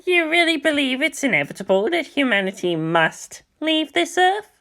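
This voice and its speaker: A man voicing a skeptical monk elder who questions things in drawn-out words, in an audiobook. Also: very high voice, sing-song, bright, forceful tone, pitched above the performer's own voice, full character voice. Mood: doubtful, amused.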